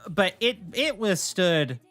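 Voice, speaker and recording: A woman's voice: medium pitch, slow pace, soft background music. A woman chatting casually on a livestream, telling stories in a blunt, opinionated way. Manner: opinionated, blunt, animated storytelling with swearing. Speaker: streamer, host.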